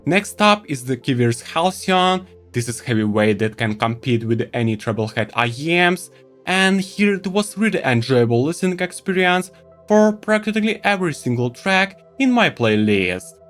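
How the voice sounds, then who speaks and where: A man who speaks English as a second language, presenting a semi-scripted product review to viewers in an expressive, enthusiastic way. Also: on the high side, moderate pace, faint music behind. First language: not English. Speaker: tech reviewer and video host.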